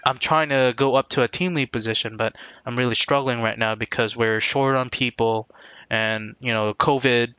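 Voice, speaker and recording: Clear, bright-voiced man streaming, rambling casually. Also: medium pitch, steady tone, dry recording. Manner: halting, rambling, repeats words. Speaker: game streamer. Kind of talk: casual live-stream commentary.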